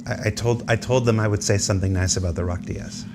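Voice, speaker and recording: A man hosting an unscripted live show, speaking in a halting way with emphatic repetition. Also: fairly low pitch, fairly even intonation. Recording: noisy streamed show audio.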